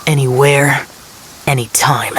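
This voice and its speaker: Casting: male actor as aloof young guardian warrior for anime game, slow and solemn, formal diction, faint background noise. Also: mid-range voice, fairly even intonation, natural voice. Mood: solemn, protective. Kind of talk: anime video game voice acting.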